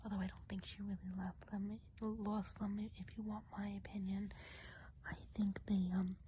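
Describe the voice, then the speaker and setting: A woman playing a kindly, chatty witch of the woods in a whispered ASMR role play, speaking gently and without hurry in a soft, breathy whisper. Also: mid-range voice, flat delivery, slight background noise, close to an everyday voice. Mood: gossipy. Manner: gentle, unhurried, chatty, hesitant asides.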